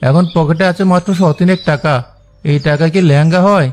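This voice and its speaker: Cartoon labourer dad, male actor: fast talker, devoted, worn out, plaintive, a little higher-pitched. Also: fairly even intonation, darkish tone, close to an everyday voice. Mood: weary. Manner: plaintive, earnest. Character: weary poor labourer father, gentle, anxious, devoted. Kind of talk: cartoon drama dialogue. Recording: faint music behind the voice.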